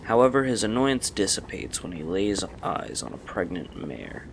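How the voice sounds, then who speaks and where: A man's voice: low-pitched, very animated, normal speed, vocal fry, noisy background. A man reading scripted fantasy story narration as the narrator of an audio drama.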